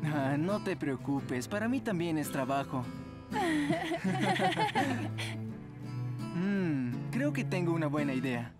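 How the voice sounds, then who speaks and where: An actor voicing a friendly, laid-back young celebrity heartthrob in a cartoon dub, polite. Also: male voice, fairly high pitch, wildly swooping pitch, takes it slowly, full character voice. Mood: friendly, relaxed. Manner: easygoing, polite. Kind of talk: animated cartoon dub acting. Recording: loud guitar music behind.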